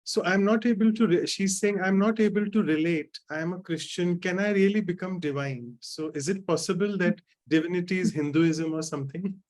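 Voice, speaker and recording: A man hosts a workshop Q&A in a slightly high voice. He relays an audience question politely, with a few "uh" fillers, and keeps the session moving. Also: fairly quick, hardly any pauses, darkish tone. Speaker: moderator. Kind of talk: hosting a workshop Q&A.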